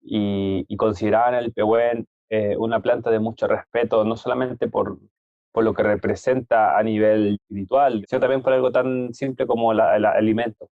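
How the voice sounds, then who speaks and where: A man from Chile who belongs to a Mapuche community, calmly and steadily explaining traditions in a documentary interview. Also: medium pitch, no echo, phone-quality sound.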